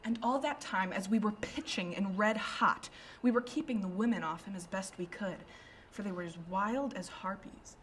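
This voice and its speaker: A woman's voice: medium pitch, moderate pace, fairly bright tone, slight background noise, lightly acted. A woman playing a well-known Victorian lady about town, recounting events dramatically in a radio drama. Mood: indignant, dramatic. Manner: dramatic storytelling, emphatic.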